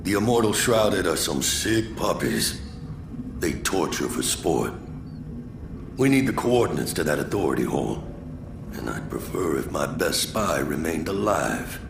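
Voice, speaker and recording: An actor playing a gruff, weary resistance marshal in a video game, blunt and wry. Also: male voice, a bass voice, very animated, a little slow, reverberant, background noise, heavily acted.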